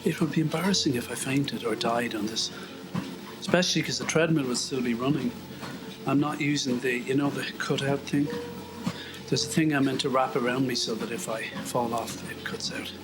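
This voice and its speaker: A man's voice: mid-range voice, normal speed, background noise. A man rambling to the camera while walking on a treadmill, a bit out of breath, wry and self-mocking. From Ireland. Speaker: vlogger. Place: indoors at home, on a treadmill.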